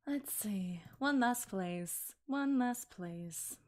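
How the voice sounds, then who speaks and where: A woman's voice: medium pitch, expressive, extremely slow, darkish tone. A woman streaming casually to her chat, giggling often and correcting herself mid-sentence. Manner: giggly, chatty, laughs often between sentences. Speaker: VTuber streamer.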